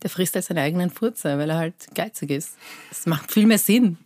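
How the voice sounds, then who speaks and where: Low-voiced Persian-born woman, dry sound. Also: moderate pace, no room sound. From Iran. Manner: animated, lively storytelling with many fillers. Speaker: cabaret artist guest. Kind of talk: casual interview chat.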